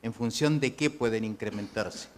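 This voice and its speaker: A man from Spain and Chile, a real estate broker, asking a deliberate question from the audience. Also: on the low side.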